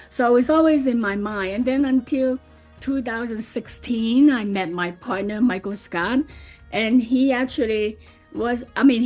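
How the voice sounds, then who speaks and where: Middle-aged woman, earnest. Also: on the high side. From Hong Kong. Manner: earnest, rambling, frequent "you know". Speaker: skincare brand founder. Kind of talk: podcast interview.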